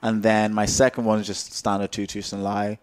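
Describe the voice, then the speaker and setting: A man's voice: lowish voice, little pitch movement, a little fast, smooth. A young man hosting a podcast, chatting casually in a rambling, self-interrupting, joking way. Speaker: podcast host. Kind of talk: casual chat.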